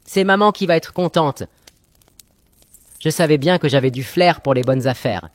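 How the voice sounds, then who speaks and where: A man's voice: a little high, animated, moderate pace, no echo, natural voice. A man playing a cheeky, cunning young boy hero, self-assured and wheedling, in a children's cartoon fairy tale. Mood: pleased.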